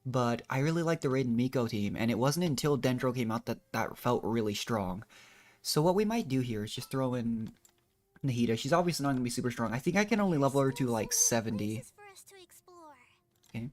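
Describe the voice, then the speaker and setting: Guy streaming a video game, normal mid pitch, just rambling thru his thoughts casually. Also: animated, brisk, a little mellow. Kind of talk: casual gameplay commentary, thinking aloud.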